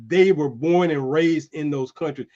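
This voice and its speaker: A man hosting a streamed show, chatting loosely and calling people "man" as he pitches merchandise. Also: measured pace, darkish tone, highs cut off. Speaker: host. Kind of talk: casual chat and merchandise pitch. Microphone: streaming setup.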